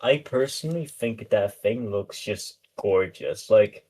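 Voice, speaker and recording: Male podcast co-host, moderately low register, informal car-enthusiast conversation. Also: unhurried, a little mellow. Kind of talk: casual car chat. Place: remote voice chat.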